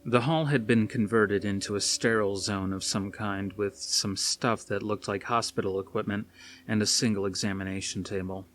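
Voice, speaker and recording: Low-voiced man reading a dramatic story. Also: steady tone, normal speed, fairly bright tone. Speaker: audiobook reader. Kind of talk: dramatic scripted narration. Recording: faint noise behind the reading.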